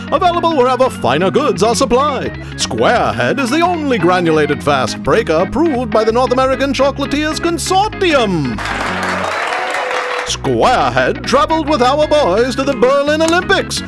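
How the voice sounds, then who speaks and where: A man playing a hearty, suave 1930s radio announcer, narrating and pitching a sponsor in scripted, salesmanlike style. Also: wildly swooping pitch, moderate pace, a crowd in the background, exaggerated voice. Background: music.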